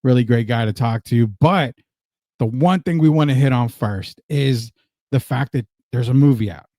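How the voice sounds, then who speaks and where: A man's voice: mid-range voice, moderate pace, dark tone, no echo, studio quality. An American man hosting a podcast, chatting through promotional patter and introducing his guest in an upbeat interview style. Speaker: podcast host.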